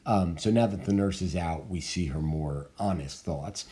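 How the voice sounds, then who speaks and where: A man's voice: deep voice, moderate pace, warm tone. Thoughtful man explaining a play. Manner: thoughtful, hesitant with many fillers. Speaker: teacher reading a play aloud. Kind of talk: explanatory commentary.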